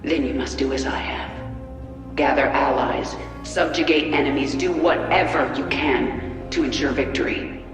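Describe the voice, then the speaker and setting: A woman's voice: a bass voice, steady tone, moderate pace. Swarm queen: hardened, terse, commanding, heavy echo on the voice, game cutscene music behind. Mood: grim. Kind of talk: video game cutscene acting.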